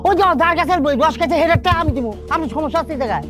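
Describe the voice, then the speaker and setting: An actor playing a protective, breezy village uncle with a Bangladeshi accent, arguing glibly in a comic family drama. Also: male voice, very high-pitched, very fast, over music. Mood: defiant, glib. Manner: argumentative, glib, animated.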